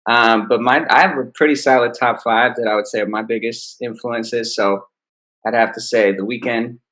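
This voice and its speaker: A man, an R&B singer, answering interview questions in a relaxed, easygoing way. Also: mid-range voice, normal speed, low-quality recording. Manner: relaxed, laid-back explaining. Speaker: R&B singer, guest. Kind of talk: casual interview.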